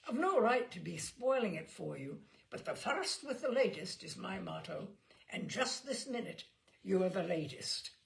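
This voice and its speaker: A woman's voice: mid-range voice, sing-song, a little slow, gravelly, full character voice. A woman voicing a small young male reporter from a storybook, with a Glasgow accent, chatty, apologetic and persistent. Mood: eager, polite. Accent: Glasgow Scottish. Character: small young male newspaper reporter, eager, agreeable, pushy.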